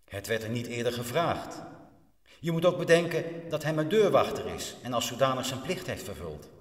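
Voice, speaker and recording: A man narrating a radio drama in a solemn, measured, didactic way, as a stern storyteller. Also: mid-range voice, very animated, natural voice. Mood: solemn, instructive. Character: stern, measured male prison chaplain telling a parable. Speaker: narrator, actor and director of a radio play.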